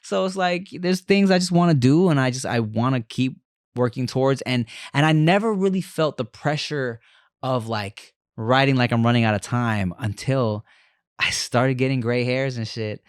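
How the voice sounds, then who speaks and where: A man in his thirties talks in a loose, profane podcast chat, stringing thoughts together with "you know" and "like." His voice sits at a middle pitch. The studio sound is close and dry. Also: normal speed, clean tone, dry recording. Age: 30s. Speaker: podcast host.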